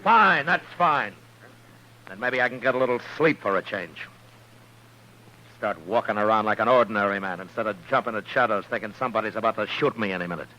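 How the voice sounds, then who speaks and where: An actor playing a stern, weary American frontier marshal in a radio western, speaking with dry, sardonic authority. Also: male voice, lowish voice, fairly quick, pushed and bright. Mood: bitter, sardonic. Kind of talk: 1950s radio western acting. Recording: noisy, humming old radio recording.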